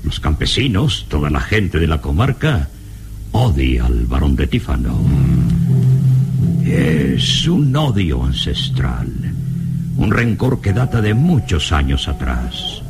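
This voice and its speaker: An anxious radio-play professor with a very low, gravelly voice. He is suspicious and halting, his slow delivery full of dramatic swings. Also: big pitch swings, over music, exaggerated voice. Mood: grave, fearful. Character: anxious, suspicious older professor warning of danger. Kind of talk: vintage radio drama acting.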